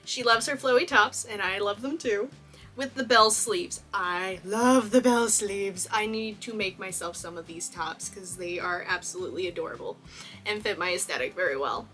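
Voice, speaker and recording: A woman's voice: mid-range voice, normal speed, sharp tone, soft background music. A young woman from Texas, a cosplayer, chatting enthusiastically through a casual movie costume analysis and laughing often. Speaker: cosplayer and video host.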